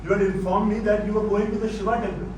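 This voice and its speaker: A man's voice: sits high, fairly even intonation, quick pace, lightly acted. Man acting as a stern Indian patriarch, echoey stage. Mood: stern, suspicious. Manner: authoritative, disapproving, interrogating. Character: stern, controlling old Indian patriarch and father-in-law. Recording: very echoey, distant stage recording with noise.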